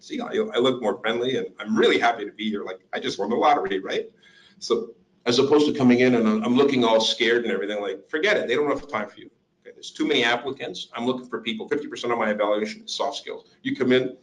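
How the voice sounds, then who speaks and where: A man giving a career workshop to job seekers, lively and joking as he acts out little interview scenes. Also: mid-range voice, low-quality recording.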